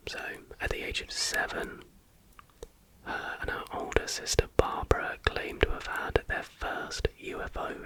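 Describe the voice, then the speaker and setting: A man reading aloud in a soft, breathy, unvoiced whisper as an informal ASMR host. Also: low-quality recording.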